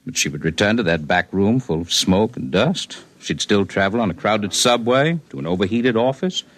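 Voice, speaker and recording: A calm alien healer who talks like a scholar, measured and faintly superior. His low voice rises and falls in lively swings. It sounds like an old radio play. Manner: measured, lofty, precise. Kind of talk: 1950s radio drama acting.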